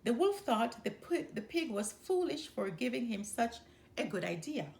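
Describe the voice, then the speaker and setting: A woman narrates a children's story aloud. She takes her time, moving expressively across a wide pitch range, with noticeable creak in the voice.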